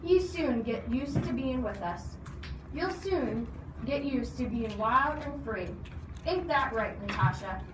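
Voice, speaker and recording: Woman as hearty storybook adventurer man, exuberant, folksy, raised pitch, distant noisy recording. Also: animated, takes it slowly. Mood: coaxing. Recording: noisy, echoey room, distant mic.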